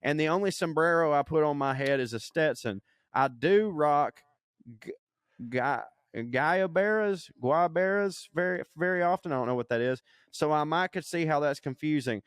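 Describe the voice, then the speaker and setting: A man's voice: a little high, normal speed, clean tone, dry recording, clean studio sound. A man co-hosting a podcast, reading a listener email aloud with profane, laughing asides. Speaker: podcast co-host.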